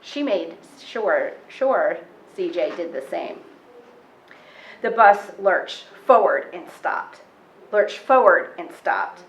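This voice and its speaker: A woman reading a picture book aloud to children as a storytime reader, with expressive, measured phrasing. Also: on the low side, echoey room, dull audio, slight background noise.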